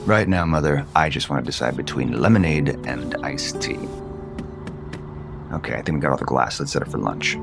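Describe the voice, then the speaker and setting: A man's voice: rumbling low, normal speed, vocal fry, over music. A male actor playing a guarded, conflicted adult son and unhappily married father in an audio soap opera. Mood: tentative. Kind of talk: naturalistic soap opera acting.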